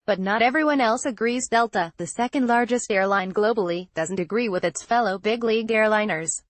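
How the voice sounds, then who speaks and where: A woman's voice: on the high side, brisk, dry recording. A woman reading scripted news narration in a formal, word-for-word manner.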